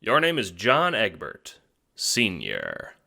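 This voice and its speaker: A man's voice: on the low side, huge pitch swings, takes it slowly, creaky, very noisy. A man narrating a scripted story in the second person, in the style of an audiobook.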